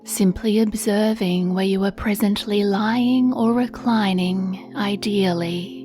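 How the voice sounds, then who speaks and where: A woman guiding a sleep meditation from a script in a soothing, hushed, breathy way, with long pauses. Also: medium pitch, steady tone, soft background music. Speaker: meditation guide.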